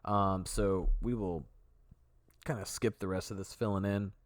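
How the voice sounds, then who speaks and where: Man, deep low voice, sniffly from a cold, laid-back rambling tutorial talk, dry close sound. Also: fairly even intonation, measured pace, dry recording. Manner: easygoing, rambling, self-correcting. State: sick, sniffly. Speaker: video tutorial host. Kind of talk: casual voice-over walkthrough.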